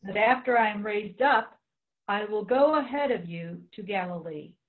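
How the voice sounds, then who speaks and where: A woman's voice: mid-range voice, moderate pace. A woman giving a dramatic reading of scripture as a church reader, recorded with a thin phone-like sound.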